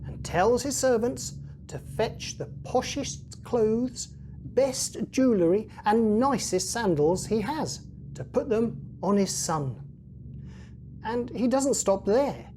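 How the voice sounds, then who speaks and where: A woman's voice: mid-range voice, animated, takes it slowly, dark tone, slight background noise. A woman reading a children's Bible story aloud as a scripted narration for young listeners. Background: music.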